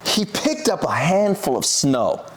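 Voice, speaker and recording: A man co-hosting a children's read-aloud, playful and expressive, drawing out words and addressing the kids directly. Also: on the high side, measured pace, noisy background. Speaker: co-host reading a children's picture book.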